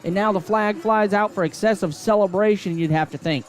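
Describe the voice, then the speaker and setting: A man calling high school football play-by-play on radio, building to excited calls on big plays. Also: brisk. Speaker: play-by-play announcer.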